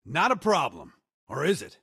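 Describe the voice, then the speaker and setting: An actor playing an excitable, slightly comic male soldier in a video game, delivering short callouts in a husky, theatrical voice. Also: fairly high pitch, moderate pace, full character voice. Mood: wry, confident.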